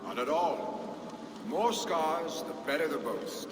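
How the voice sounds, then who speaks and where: An actor playing a carefree, boastful young Viking warrior, the son of a jarl, with a teasing, jovial manner. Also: male voice, fairly high pitch, big pitch swings, slow. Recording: game cutscene with ambient noise.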